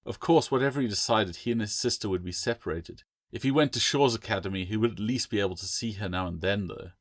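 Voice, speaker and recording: A man's voice: lowish voice, fast talker, no echo, studio quality. A man reading a story aloud as scripted audiobook narration. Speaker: author reading own novel.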